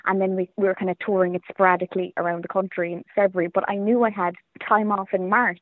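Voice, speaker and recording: A woman's voice: mid-range voice, little pitch movement, quick pace, no echo. Irish woman on a tinny phone line. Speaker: playwright and performer being interviewed. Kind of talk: casual reflective interview chat.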